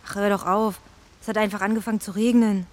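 A woman's voice: mid-range voice, steady tone, quick pace, lightly acted. A woman playing a young fairy pupil in a children's radio play, blunt, kind and full of self-doubt. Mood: grumpy, dismissive. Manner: self-deprecating, matter-of-fact.

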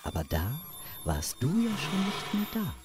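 A man playing a knowing guide through memories in a radio play, speaking intimately and in a measured, theatrical way. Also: fairly high pitch, wildly swooping pitch, over loud music. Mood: knowing, reflective. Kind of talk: experimental radio play acting.

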